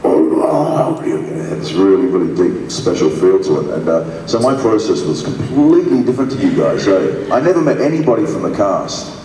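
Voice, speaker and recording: A man's voice: on the low side, sing-song, brisk, hardly any pauses, crowd noise. A man telling a rambling, laughing anecdote on a convention panel. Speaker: actor on a panel. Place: large convention hall. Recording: echoey hall from far off.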